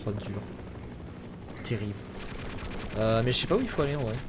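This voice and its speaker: Guy streaming a game, jokey chat, loud gunfire going off in the back. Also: lowish voice, expressive, moderate pace. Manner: chatty, jokey, shouts in bursts. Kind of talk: casual gaming chat. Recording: noisy game audio with gunfire.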